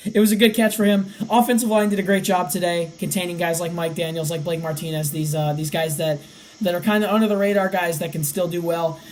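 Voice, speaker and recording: A young man, around eighteen, hosts a casual sports podcast with a voice left slightly raspy from cheering. He runs through game stats at an easy clip. A little faint noise sits under the recording. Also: sits high, fairly even intonation, fairly quick, low-quality recording. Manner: rattles off stats. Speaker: podcast host.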